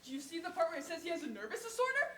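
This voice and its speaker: Actress as a pushy, frantic petitioner, very high lively voice, echoey room. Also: female voice, very fast, full character voice. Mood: insistent. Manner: melodramatic, insistent, swings to shouting. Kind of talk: stage comedy acting.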